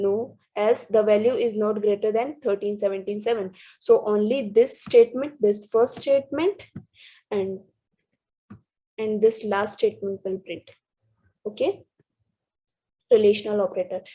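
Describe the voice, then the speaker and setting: Woman presenter, husky and mellow, halting slide walkthrough, lo-fi sound. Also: mid-range voice. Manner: halting, restarts words, many fillers. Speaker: student presenter. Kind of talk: reading through programming slides. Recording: dull lo-fi recording.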